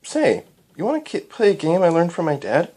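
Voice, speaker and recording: A performer voicing a friendly, confident young female pony princess with a gentle, coaxing manner for a story. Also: mid-range voice, big pitch swings, brisk, pitched above the performer's own voice. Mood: playful.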